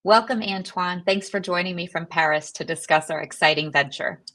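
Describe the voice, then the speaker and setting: A woman's voice: lowish voice, normal speed, fairly bright tone. A woman interviewing in a prepared, businesslike style, like a publishing executive hosting a corporate video.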